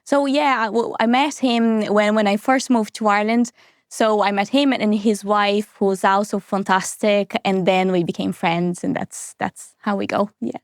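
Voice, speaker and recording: Lively woman. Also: mid-range voice, normal speed, no room sound, studio quality. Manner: animated, laughs often, tag questions. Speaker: podcast guest, recruiter. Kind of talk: casual interview chat.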